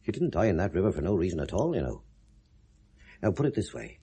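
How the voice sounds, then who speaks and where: An actor playing a genial, probing middle-aged British police inspector with a husky voice, in a 1970s radio drama. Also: male voice, low-pitched, big pitch swings, fast, warm tone, heavily acted. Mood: wry, pressing. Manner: affable, wry, casually probing.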